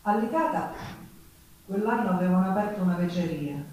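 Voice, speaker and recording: A woman's voice: on the low side, animated, fairly quick, a little mellow, lightly acted. An actress playing a poor, fierce Sicilian working woman who recounts her hard life in an earthy, dramatic stage monologue with a Sicilian accent. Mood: reflective, guarded. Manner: dramatic, earthy storytelling in dialect-laced speech. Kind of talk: theatrical monologue with folk singing. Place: theatre performance, with guitar music behind. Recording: echoey theatre stage, distant microphone.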